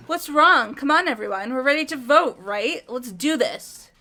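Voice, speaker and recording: Woman as a bright, pushy sporty girl, high and energetic. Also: measured pace, exaggerated voice. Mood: eager. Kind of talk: fan voice acting of a game.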